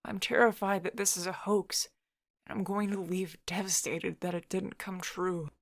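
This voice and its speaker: A woman's voice: fairly low pitch, fairly even intonation, moderate pace, no echo, close to an everyday voice. Woman acting a sarcastic, anxious, doubting youngster. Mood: anxious, wistful. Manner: sceptical, whiny, earnest.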